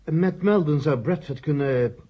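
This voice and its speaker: A man's voice: fairly high pitch, unhurried, slight background noise, natural voice. An actor playing a worried, hesitant male committee member who stammers and repeats himself in a radio drama. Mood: doubtful, hesitant. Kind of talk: 1970 Dutch radio drama acting. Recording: muffled old 1970 radio recording.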